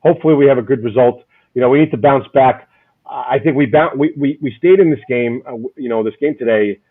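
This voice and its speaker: A man hosting a casual fan chat, loud and rambling, often repeating his words. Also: mid-range voice, quick pace, breathy edge, narrow, tinny audio. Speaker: podcast host.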